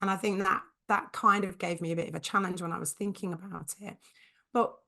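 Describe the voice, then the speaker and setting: Husky-voiced woman, a bit under the weather. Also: mid-range voice, fairly even intonation, brisk, sharp tone. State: hoarse, husky from a cold. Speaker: podcast co-host, business coach. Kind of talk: conversational podcast chat.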